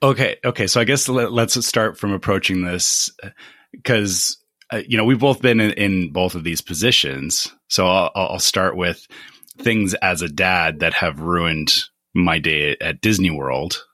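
A man in his thirties with a very low voice, co-hosting a casual podcast. He hesitates and gropes for words, then picks his thought back up. The sound is dry and close to the mic. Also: unhurried, sharp tone, no echo. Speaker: podcast co-host.

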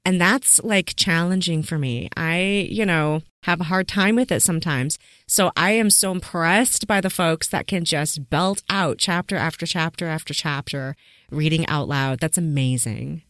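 A woman's voice: low-pitched, moderate pace, fairly bright tone, no room sound, clean studio sound. A woman hosting an interview podcast, encouraging her guest with laughs and exclamations. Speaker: podcast host. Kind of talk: casual interview chat.

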